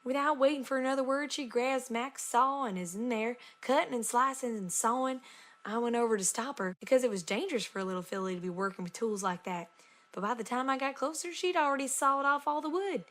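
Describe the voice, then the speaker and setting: A woman's voice: on the high side, fairly quick, fairly bright tone, natural voice. A woman voicing an earnest country farm pony, plainly reading a letter aloud. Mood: proud. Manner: folksy, plainspoken. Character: earnest, down-to-earth young country farm mare, cartoon pony.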